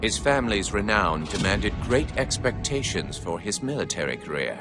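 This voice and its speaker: A man's voice: lowish voice, big pitch swings, moderate pace. An actor voicing a grave, anxious alien male scribe in a solemn, formal narration for a video game cutscene. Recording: game soundtrack with music underneath.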